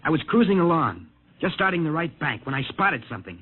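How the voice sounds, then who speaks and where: An actor playing a shaken, insistent male rocket test pilot in a 1950s radio drama, speaking urgently and haltingly. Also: a little high, sing-song, husky. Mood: earnest, haunted. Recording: muffled old 1950 radio broadcast recording.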